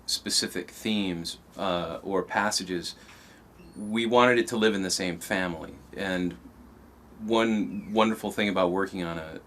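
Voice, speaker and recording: A man interviewed as a composer, explaining his approach in a measured, thoughtful way. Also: low voice, fairly bright tone. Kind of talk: behind-the-scenes interview. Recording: faint background ambient noise.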